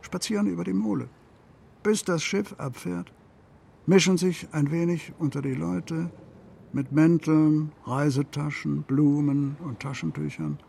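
Gravelly, melancholy male storyteller. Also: a little high, fairly even intonation, unhurried, mellow, exaggerated voice. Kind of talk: literary narration in a radio play.

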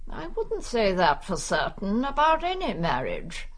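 A woman's voice: mid-range voice, wildly swooping pitch, measured pace. An actress playing a nosy, brusque older English village woman in a radio drama, gossiping wryly. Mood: gossipy.

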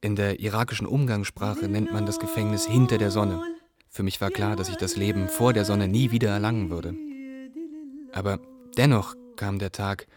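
An actor playing a young male ex-prisoner who recounts his ordeal in a measured, reflective way for a radio drama. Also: lowish voice, steady tone, natural voice. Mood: wry, reflective. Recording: loud crowd ambience behind.

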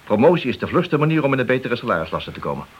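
A man's voice: mid-range voice, sing-song, rapid-fire, slightly rough, lo-fi sound. An actor playing an ambitious, glory-seeking policeman in a radio play, insistent and persuasive. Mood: coaxing, boastful. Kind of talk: radio play dialogue acting. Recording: old radio recording with hiss.

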